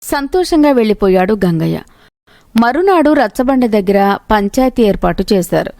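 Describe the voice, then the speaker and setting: Female storyteller, slightly high voice, scripted moral-tale narration, very dry recording. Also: big pitch swings, moderate pace, dark tone, dry recording.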